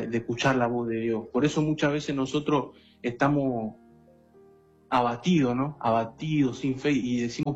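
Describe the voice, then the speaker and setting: A man's voice: mid-range voice, a little mellow, breathy edge. Young male preacher, dull recording, faint music. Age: 20s. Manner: earnest, repetitive, often addressing listener as brother. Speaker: guest lay preacher. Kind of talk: personal testimony and exhortation.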